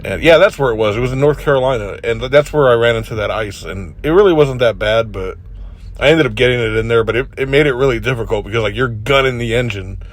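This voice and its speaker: A truck driver with a head cold talking in a laid-back, joking way with plenty of swearing, in a casual driving vlog. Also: male voice, medium pitch, quick pace. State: congested with a cold.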